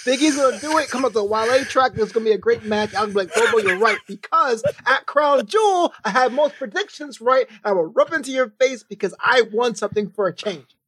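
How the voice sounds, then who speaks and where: A man's voice: very high-pitched, animated, a little fast, a bit bright, husky. A man co-hosting a wrestling podcast with casual, teasing banter.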